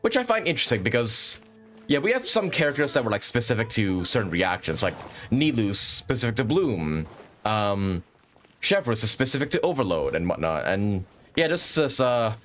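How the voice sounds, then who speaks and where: A man's voice: on the low side, big pitch swings, a little fast, a bit bright, soft background music. A man hosting a livestream with casual, rambling gaming commentary and frequent chatty asides. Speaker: livestream host.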